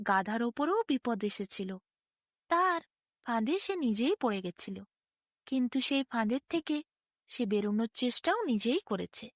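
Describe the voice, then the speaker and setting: A woman's voice: fairly high pitch, no room sound, studio quality. A woman storyteller narrating a bedtime tale expressively, in dramatic short phrases.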